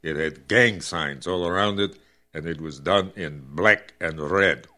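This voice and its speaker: A man playing Nikolai, a calm and formal-speaking man who avoids contractions. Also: low-pitched, measured pace. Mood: steady. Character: calm, stiff-spoken man named Nikolai. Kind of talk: amateur audio drama.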